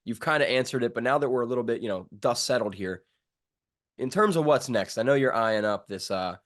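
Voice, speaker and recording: A man's voice: medium pitch, animated, fairly quick. Slangy man hosting a sports interview. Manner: slangy, informal, rambling questions. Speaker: sports show host interviewing a fighter. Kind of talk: casual interview.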